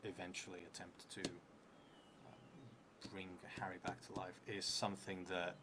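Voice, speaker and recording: A low-pitched man in his thirties thinks aloud through a solo roleplaying story. He is hesitant and musing, letting sentences trail away. The recording has clear background noise. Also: steady tone, measured pace. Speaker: solo tabletop roleplay player and streamer. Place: indoors at a table in a theatre room. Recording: clearly noisy room recording.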